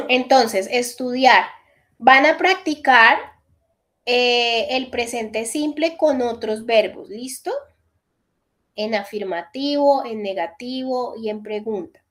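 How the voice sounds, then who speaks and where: A woman in her thirties with a high voice, teaching an online English class over a video call. She walks through each point step by step and keeps checking that her students follow. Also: slow pace, fairly bright tone. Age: 30s. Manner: explains step by step, checks in with repeated "listo". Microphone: computer mic on a video call.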